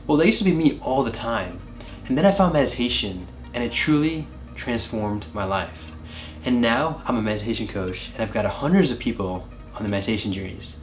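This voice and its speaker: A young man hosts a casual reaction video as a meditation coach. His delivery is energetic and upbeat, easing into calm when he leads a breathing exercise. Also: medium pitch, fairly quick, soft background music, low-quality recording.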